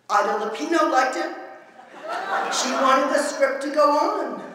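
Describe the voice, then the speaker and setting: A woman recites poetry in a big reverberant hall, her voice set back from the microphone. She is theatrical and declamatory, drawing phrases out slowly and chanting them like an incantation. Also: on the high side, a bit bright. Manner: emphatic, declamatory delivery. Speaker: performance poet. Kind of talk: dramatic spoken-word poetry recital. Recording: echoey hall, voice far from mic.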